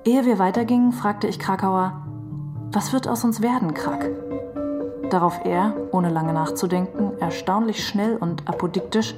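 A woman's voice: medium pitch, a little slow, music in the background. A woman giving a scripted literary reading of memoir and novel passages. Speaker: reader of literary quotations.

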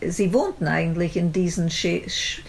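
A woman literary scholar explaining in an interview, halting and searching for words, often repeating phrases. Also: lowish voice, gravelly, breathy edge.

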